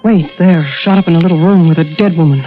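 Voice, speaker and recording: An actress narrating as a scheming young woman stand-in in an old radio drama, in a clipped, measured, suspenseful way. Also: fairly low pitch, natural voice. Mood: tense. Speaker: stand-in narrating her crime. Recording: dull old radio broadcast with music.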